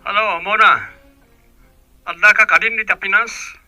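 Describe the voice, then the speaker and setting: A doomed prisoner in a radio drama, full of regret. The voice is high and very sharp, recorded dry over a music bed. He pleads as he talks. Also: steady tone, measured pace, heavily acted. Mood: regretful. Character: remorseful man jailed abroad, awaiting execution, phoning an old love. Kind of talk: Ilocano radio drama acting.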